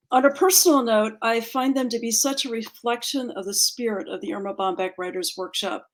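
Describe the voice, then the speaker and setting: A woman's voice: medium pitch, low-quality recording. A woman presenting at a ceremony, giving a prepared introduction of guest speakers. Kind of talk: prepared introduction, partly read aloud.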